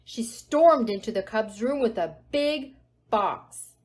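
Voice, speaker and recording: A woman's voice: on the high side, animated, slow pace, a little mellow. A woman narrating a children's storybook aloud expressively, with dramatic emphasis on key words. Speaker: storybook reader.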